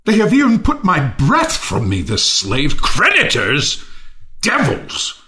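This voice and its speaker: A man playing an enraged, half-mad, ruined lord in a reading of a Shakespeare play, declaiming with drawn-out emphasis. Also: fairly high pitch, wildly swooping pitch, pushed and bright, heavily acted. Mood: bitter, commanding.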